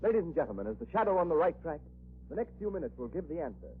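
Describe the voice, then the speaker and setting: An actor working as an emphatic, dramatic 1940s radio announcer, delivering an advertising warning. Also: male voice, on the high side, big pitch swings, very fast, soft background music. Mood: urgent, cautioning. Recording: scratchy old radio broadcast, muffled, hum.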